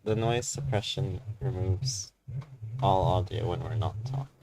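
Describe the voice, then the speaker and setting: Very deep, creaky low-key man. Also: animated, measured pace. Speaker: video game co-host. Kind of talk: casual chat. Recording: clear static and muffled TV noise.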